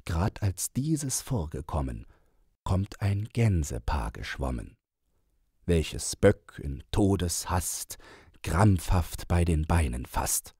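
A man with a very low, husky voice narrates children's verse. His delivery is slow and theatrical, with wide swings of intonation. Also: dark tone, dry recording, studio quality. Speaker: audiobook reader. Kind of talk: rhymed children's story narration.

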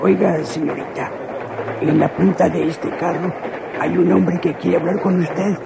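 An actor playing a courteous, hesitant train vendor with a Mexican accent in a radio soap opera. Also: male voice, a little high, fairly even intonation, unhurried, vocal fry. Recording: noisy old radio drama recording.

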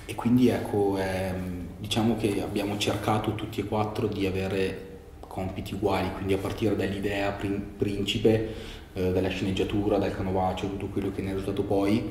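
A young man in his twenties answering interview questions in a relaxed way, searching for words with fillers and restarts. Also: deep voice, normal speed. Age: 20s. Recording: roomy echo with faint background noise.

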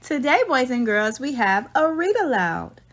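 A woman reading a story aloud to young children in an expressive, singsong storytelling manner. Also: high-pitched. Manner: slow, expressive, singsong delivery. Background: background music.

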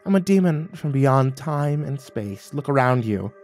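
A man voicing a seductive, predatory female demon in an audiobook, crooning and breathy with a slow, scornful, teasing menace. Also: mid-range voice, lively intonation, darkish tone, soft background music. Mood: scornful, menacing. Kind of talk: audiobook character voice.

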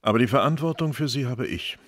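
An actor playing a courteous, responsible guardian with a formal manner in a radio drama. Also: male voice, medium pitch, fast talker, no echo, studio quality, natural voice. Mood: formal, explaining. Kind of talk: radio crime drama acting.

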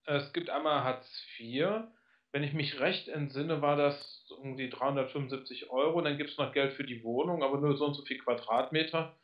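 A male radio host, measured, asking pointed questions in a political interview. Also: mid-range voice, steady tone, far from the mic, highs cut off.

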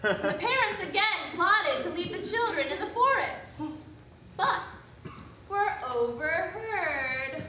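A woman's voice: very high voice, sing-song, slow pace. A woman narrating a scripted fairy tale as the storyteller of a stage play. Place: theatre stage, indoors. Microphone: far camera in the audience. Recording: echoey theatre from far off, loud audience noise.

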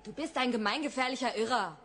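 A woman playing a sharp-tongued, scornful actress and pagan queen in a radio play. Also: a little high, quick pace. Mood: imperious, bitter. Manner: scornful, blunt. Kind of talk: German radio drama acting.

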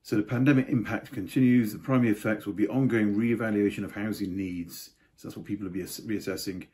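A man's voice: lowish voice, fairly even intonation, fast, darkish tone. An older man giving a casual market update to camera from a home study, with offhand asides. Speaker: property market commentator.

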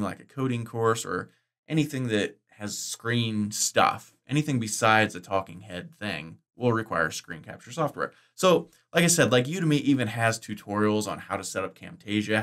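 A middle-aged man vlogging about course-making software, chatty and enthusiastic, his voice bass-boosted with the breaths filtered out. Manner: chatty, enthusiastic, loose with fillers and restarts. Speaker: online course creator. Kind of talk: casual explanatory vlog. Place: indoors, green-screen studio.

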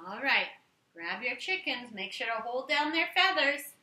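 Woman doing a kids read-aloud, really bright high voice, expressive, talks right to the little ones. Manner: expressive, instructing young listeners, slow deliberate phrasing. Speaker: read-aloud teacher for young children. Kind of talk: animated children's story read-aloud with play-along prompts. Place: living room, indoors. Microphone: camera a few feet away. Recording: roomy living room echo.

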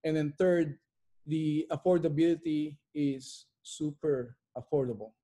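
Male interview guest, middle-aged, somewhat high and mellow voice, emphatic repetition, conversational. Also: unhurried. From immigrant to Canada. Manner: emphatic, repeats phrases for effect. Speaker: interview guest, real estate investor. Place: bright living room at home.

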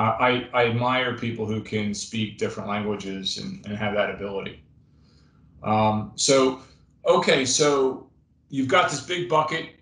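Chatty podcast host in a roomy office. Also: male voice, mid-range voice, a bit bright. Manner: friendly, rambling, lots of fillers and restarts. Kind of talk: casual interview chat. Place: home office, indoors. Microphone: webcam on video call. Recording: roomy video call audio.

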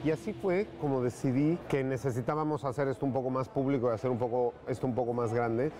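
A man's voice: mid-range voice, fairly even intonation, fast talker, over music. A man in his thirties with a Mexican Spanish accent, giving a documentary interview as a festival founder. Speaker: horror film festival founder. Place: seated indoors in a colourfully lit public space. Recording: clear noise behind the voice.

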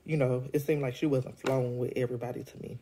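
A deep-voiced woman, congested from a cold, chats through a review into a phone held at arm's length. Her tone is dark and mellow, and she talks loosely with plenty of ums and quick laughs. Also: steady tone, slight background noise. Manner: chatty, laughs often, many ums. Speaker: show reviewer. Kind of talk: casual vlog review. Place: indoors at home.

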